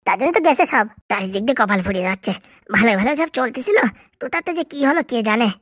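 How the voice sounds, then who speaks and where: A woman's voice: a little high, expressive, very fast, breathy edge, dry recording, clean studio sound. A woman playing a bitter, nagging village wife in a comedy cartoon, scolding and complaining sarcastically. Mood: bitter lament.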